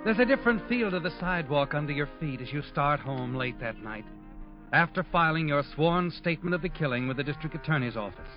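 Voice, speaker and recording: An actor playing an omniscient, taunting male narrator of crime tales in a measured 1940s radio drama style. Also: on the high side, lively intonation, lightly acted. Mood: taunting, knowing. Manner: measured, knowing, taunting second-person address. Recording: old muffled radio recording, loud music behind.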